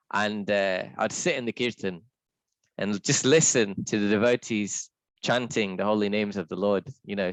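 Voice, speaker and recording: A man with a deep voice leading a reflective scripture reading, talking in a thoughtful, halting way with restarts. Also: dry recording, poor audio. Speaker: scripture class leader.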